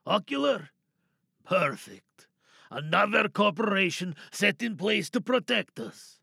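A man playing a cynical, wary captive scientist in an audio drama monologue, sardonic and probing, bargaining with quiet menace. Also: sits high, very animated, unhurried, creaky, breathy edge, dry recording, clean studio sound, heavily acted. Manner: sardonic, probing, rhetorical questions.

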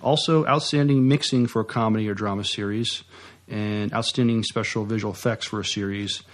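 A man with an American accent co-hosting a podcast, talking casually in a halting, hesitant way. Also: lowish voice, steady tone. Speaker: podcast co-host. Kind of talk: casual news chat.

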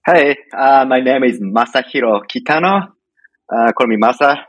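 A middle-aged man from Japan with a Japanese accent, telling stories casually as an interview guest in halting, informal phrasing. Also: medium pitch, animated, a bit bright, no room sound, muffled recording. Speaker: podcast guest, home brewer.

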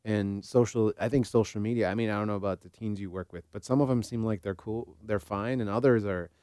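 A man's voice: on the low side, a little mellow, smooth, no room sound, clean studio sound. A man co-hosting a talk show, giving his opinions in an informal, conversational way. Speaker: podcast co-host. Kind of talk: casual discussion.

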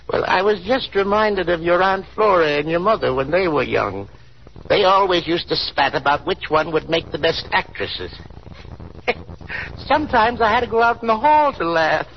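Gravelly, folksy old-time father. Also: high-pitched, expressive, normal speed. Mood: amused, reminiscing. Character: fond, worried older father of a grown daughter. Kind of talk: 1930s radio drama acting. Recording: dull, hissy 1930s radio recording.

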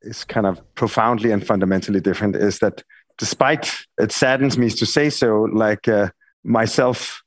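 A man's voice: lowish voice, steady tone, moderate pace, no room sound. A middle-aged man with a Danish accent, a cofounder speaking in a panel conversation, rambling with frequent restarts. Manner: rambling, hesitant, many restarts. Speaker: architect and company cofounder.

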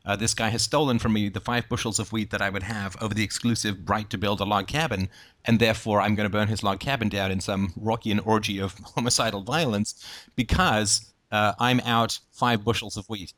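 Man, lowish pitch, informal debate, explanatory, thinks aloud with hypotheticals. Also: fairly even intonation, a little fast, a bit bright. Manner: explanatory, argues through hypotheticals. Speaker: book club discussion host.

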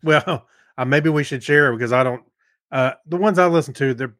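A man's voice: on the high side, moderate pace, fairly bright tone, no room sound. Man chatting casually on a podcast, dry sound. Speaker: podcast co-host. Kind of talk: casual chat.

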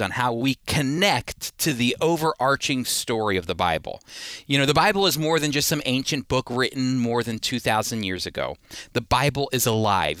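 A man's voice: mid-range voice, sharp tone, no room sound, clean studio sound. A man hosting and teaching the Bible in a conversational, earnest, emphatic way, speaking directly to listeners. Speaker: radio Bible teacher and host.

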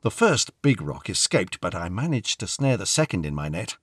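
A man's voice: low-pitched, big pitch swings, moderate pace, fairly bright tone, husky, no room sound, studio quality. A man reading a first-person short story aloud as a dramatic narrator. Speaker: story reader.

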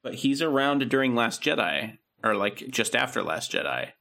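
A man's voice: medium pitch, big pitch swings, normal speed, creaky, sharp tone. A man co-hosting a podcast, chatting casually and rambling with many fillers and restarts. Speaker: podcast co-host. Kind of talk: casual chat.

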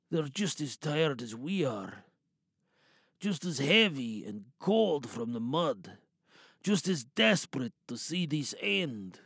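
Male actor as exhausted British soldier for audio drama, gravelly, expressive, bitter and world-weary. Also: high-pitched, no echo, studio quality, heavily acted. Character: weary, battle-hardened British soldier, whispering to a comrade.

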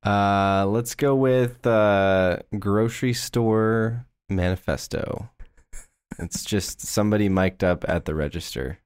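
A man with a deep voice co-hosts a podcast. He trades casual jokes in a dry, mock-earnest way. The sound is close and free of room echo. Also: slow pace, a little mellow, smooth. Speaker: podcast co-host. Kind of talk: casual joking banter.

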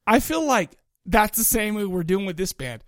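A man's voice: sits high, sing-song, moderate pace, no echo, studio quality. A man chatting casually as a podcast co-host, talking in an enthusiastic, rambling, colloquial way about music. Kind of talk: casual chat, music review.

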